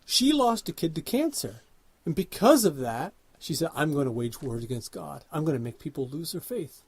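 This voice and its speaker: A man's voice: fairly high pitch, sing-song, normal speed. A man co-hosting a podcast, talking about a film in an earnest, analytical way and often summing up its themes. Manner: earnest, analytical, leads with questions and summaries. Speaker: podcast co-host. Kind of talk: casual conversational film discussion.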